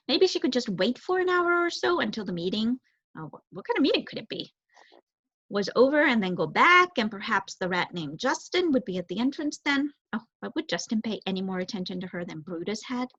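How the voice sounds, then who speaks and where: A young woman giving an expressive reading of a children's novel as narrator. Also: a little high, a little fast. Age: young adult. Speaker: story reader, student. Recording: roomy echo, dull muffled sound.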